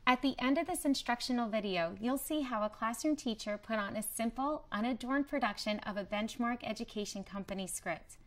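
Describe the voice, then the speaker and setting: A woman presenting a promotional training video in a scripted, clearly structured explanatory way. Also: fairly high pitch, a little fast, hardly any pauses. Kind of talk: scripted instructional narration.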